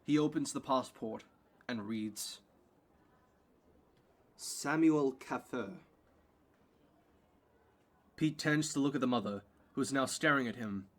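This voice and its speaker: A man narrates an audio play in a mid-pitched voice. He reads scripted prose, describing the scene and the action for the listener. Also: normal speed, fairly bright tone.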